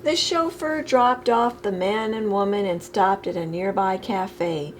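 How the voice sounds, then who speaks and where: A woman reading a children's picture book aloud expressively, with deliberate phrasing, as a storytime reader. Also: medium pitch, a little hiss or hum.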